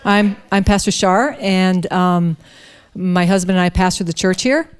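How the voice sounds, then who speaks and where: Woman, smooth clear voice, slow halting pace, understated pastor. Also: on the low side, fairly even intonation. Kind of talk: brief informal self-introduction to an audience.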